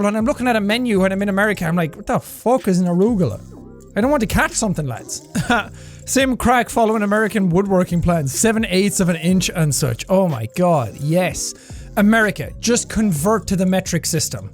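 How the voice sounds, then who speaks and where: Male YouTuber reacting to posts: bouncy, swings pitch up and down, swears a lot, faint music behind. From Ireland. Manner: energetic, sweary, laughs often, mock-outraged rants. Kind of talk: reading and reacting to online posts.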